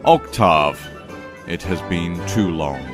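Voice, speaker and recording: A man's voice: low voice, slow. An actor playing a young-looking vampire king, gracious and formal, in an audio drama. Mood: warm, earnest. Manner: formal, stately. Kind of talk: audio drama acting, ceremonial speech. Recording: echoey reverberant hall, music behind.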